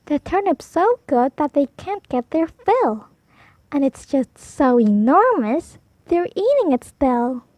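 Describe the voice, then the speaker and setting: A woman storyteller reading a picture book aloud for preschoolers, animated, drawing out key words for emphasis. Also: high voice, slow, dry recording. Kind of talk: expressive read-aloud for preschoolers.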